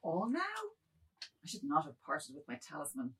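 A woman's voice: low voice, expressive, moderate pace, fairly bright tone, slight background noise. A woman playing a submissive, wordy, fretful aging man, speaking florid lines in a dramatised literary reading. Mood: anxious. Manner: florid, meek, rambling.

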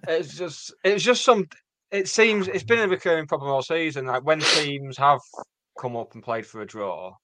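A man's voice: lively intonation, moderate pace, sharp tone, dry recording. A man, co-hosting a football podcast in rambling casual chat. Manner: rambling, pitch jumps up and down. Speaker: podcast co-host.

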